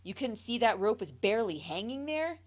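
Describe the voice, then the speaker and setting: A woman voicing a young boy who quietly confesses in a rambling way. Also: on the high side, sing-song, moderate pace, pitched above the performer's own voice. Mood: sheepish.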